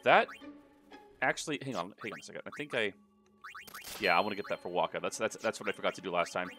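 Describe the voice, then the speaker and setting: Animated, bright-voiced man gaming. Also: low-pitched, quick pace, faint music behind. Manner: jokey, sweary, rambling. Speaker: let's play host. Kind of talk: casual gaming commentary.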